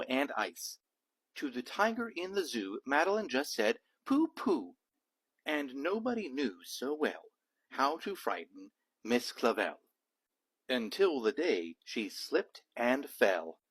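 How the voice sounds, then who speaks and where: A man reading a children's picture book aloud as a dad would, in measured, rhythmic rhyme. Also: medium pitch, fairly bright tone, breathy edge, poor audio. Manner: measured, rhythmic rhyming delivery. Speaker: read-aloud storyteller, a dad.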